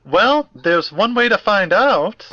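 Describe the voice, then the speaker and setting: A man's voice: high voice, expressive, slow pace, bright, forceful tone, dull audio, a little hiss or hum, exaggerated voice. A man playing a prim, earnest eccentric in a fiction podcast, pompous and florid, with formal diction. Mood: eager. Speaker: actor in an audio drama.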